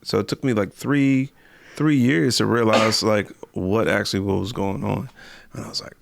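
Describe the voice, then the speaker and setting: A man's voice: fairly low pitch, fairly even intonation, normal speed, gravelly, slight background noise. An American man chatting casually as a podcast host, speaking colloquially and repeating phrases for emphasis.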